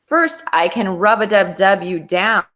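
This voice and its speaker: A woman's voice: mid-range voice, expressive, unhurried, a bit bright. A woman voicing a sly, hungry, sentimental big bad wolf in a children's storybook. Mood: sly, menacing.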